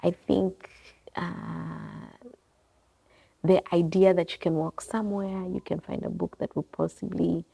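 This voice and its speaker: A woman speaks in a slightly low voice with a gravelly fry running through it. She talks casually and reflectively in an interview, wandering between thoughts and correcting herself as she goes. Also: steady tone, a little slow, warm tone. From Kenya. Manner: reflective, rambling, self-correcting. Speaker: guest, bookstore owner. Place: her bookstore and coffee bar.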